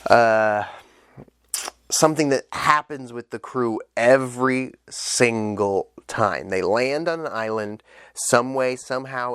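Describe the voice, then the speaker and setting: A man's voice: mid-range voice, fairly bright tone, smooth, no echo, studio quality. A young man with a stuffed-up nose, chatting casually and eagerly as he reviews an anime, with loose fillers and the odd swear. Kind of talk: casual solo review vlog.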